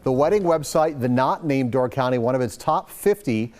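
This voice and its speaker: A man's voice: mid-range voice, expressive, normal speed, darkish tone. A man with an American accent reading scripted local news as a TV anchor in a studio.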